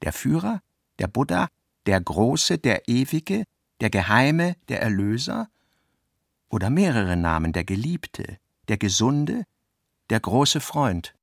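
Man reading literary fragments, deliberate and clipped. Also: mid-range voice, sing-song, gravelly. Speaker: radio play reader of literary notes.

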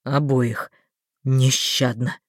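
Brief: a woman doing a gruff, weary male sorcerer, low and dry, strict but caring. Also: little pitch movement, extremely slow, dry recording, full character voice. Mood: stern. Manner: stern, muffled. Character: stern weary male sorcerer, protective guardian.

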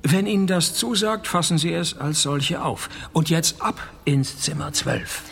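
A man playing a wisecracking, cocky private detective in a radio play, speaking with dry, deadpan irony. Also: on the high side, a little slow, gravelly, breathy edge, heavily acted. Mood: teasing, cocky. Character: wisecracking, cocky male private detective posing as night porter. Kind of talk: radio play dialogue and first-person detective narration.

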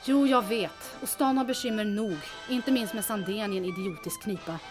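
A woman playing a brisk, decisive schemer who takes charge in a radio drama, speaking in a businesslike way. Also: mid-range voice, over music, close to an everyday voice. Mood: calculating.

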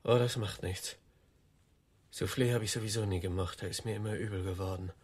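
An actor playing a rambling, self-assured suspect in a radio play, insistent and muddled, with a husky voice. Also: male voice, fairly low pitch, steady tone, a little fast, a little mellow. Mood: smug, eerie. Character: rambling, agitated suspect boasting of an imaginary other.

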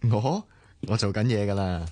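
A man's voice: lowish voice, expressive, normal speed, dark tone. An actor in a Cantonese radio drama playing an easygoing, confident young man with a Hong Kong accent and a friendly, self-assured way of talking. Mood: friendly, breezy. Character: easygoing, confident young male computer animation designer.

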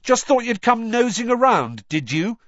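Guy doing a defensive teen wizard boy, higher voice, goes all over, husky. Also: big pitch swings, normal speed, dry recording. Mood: angry. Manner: high, sharp and heated. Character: angry teenage boy wizard, tense and defensive. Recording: dull, dry recording.

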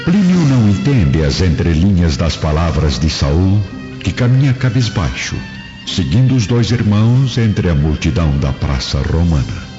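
Deep, reverberant male narrator. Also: sing-song, moderate pace. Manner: solemn storytelling. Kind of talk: scripted dramatic narration. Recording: muffled, echoey reverb, music behind.

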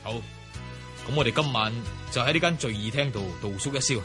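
A man's voice: mid-range voice, music in the background, close to an everyday voice. An actor playing a young, principled swordsman in a Cantonese radio drama, speaking with formal, earnest courtesy. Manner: earnest, formal, measured appeals.

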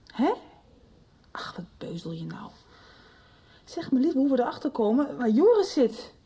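A woman's voice: high voice, sing-song, moderate pace, dark tone, breathy edge, lo-fi sound, full character voice. A young woman playing an impatient, agitated daughter in a radio soap, pressing with insistent questions. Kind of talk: radio drama acting.

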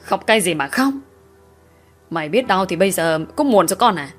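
A woman's voice: on the low side, lively intonation, moderate pace, soft background music, lightly acted. A woman voicing a furious, strict middle-aged village father who scolds and growls at his daughter. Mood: furious scolding.

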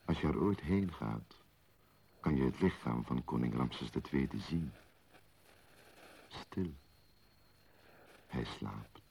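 A very deep, solemn storyteller. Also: male voice, creaky, warm tone, a little hiss or hum, heavily acted. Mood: hushed. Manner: measured, solemn, deliberate. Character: solemn, contemplative male storyteller of ancient kings and mysteries. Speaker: narrator in a radio play. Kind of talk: scripted radio play narration, solemn and literary.

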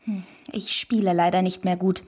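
A woman's voice: fairly low pitch, fairly even intonation, normal speed, a little mellow, slight background noise, natural voice. An actress playing a wistful, understated mother in a German children's radio play. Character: wistful mother, former would-be pianist.

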